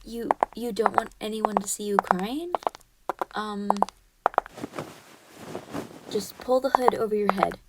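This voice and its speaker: A woman acts out a teenage girl best friend in a comfort roleplay. She has a medium-pitched voice and talks one-on-one in a soothing, reassuring way. She is protective, with a gently bossy, teasing edge. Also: fairly even intonation, slow, pauses often, heavy background noise, natural voice. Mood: worried, soothing. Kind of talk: ASMR comfort roleplay, one-sided conversation.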